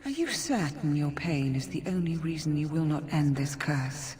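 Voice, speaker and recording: A woman's voice: deep voice, a little mellow, close to an everyday voice. Woman playing a solemn spirit in long reverb. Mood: solemn, reproving. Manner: measured, formal, pleading. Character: solemn, compassionate female forest spirit.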